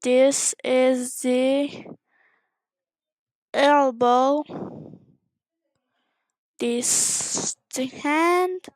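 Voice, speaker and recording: Slow-paced, high-voiced woman teaching. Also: no room sound. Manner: simple instructional phrasing with repeated words. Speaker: English teacher. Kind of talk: informal screen-share language lesson narration.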